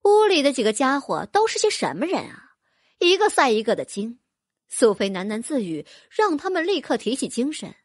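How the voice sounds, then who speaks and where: High, lively woman narrating a story. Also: no echo, studio quality. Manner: lively, expressive reading. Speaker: audiobook narrator. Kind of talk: scripted narration.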